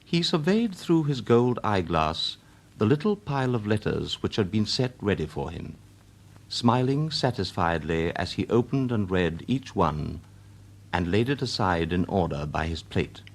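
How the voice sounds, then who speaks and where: A Victorian English gentleman narrator in a radio drama. His low voice is husky and moves with lively inflection, yet he stays measured, courteous and faintly dry. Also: exaggerated voice. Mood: measured storytelling. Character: polite, unhurried Victorian gentleman narrator, dryly amused. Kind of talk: British radio drama acting and scripted narration.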